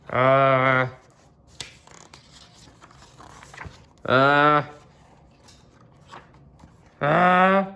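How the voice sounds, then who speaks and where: A man voicing an anxious, melodramatic elephant from a storybook, halting and wailing. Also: fairly high pitch, pitched above the performer's own voice. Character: anxious, dramatic male cartoon elephant, sneezy and distraught.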